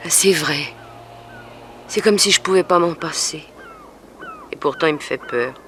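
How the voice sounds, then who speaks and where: An actress playing a young, submissive streetwalker in a 1960s radio drama, resigned and plaintive. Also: female voice, low voice, lively intonation, unhurried. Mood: resigned, uneasy. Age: young adult. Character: weary, frightened, submissive young streetwalker under a pimp's hold. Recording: faintly noisy old radio recording.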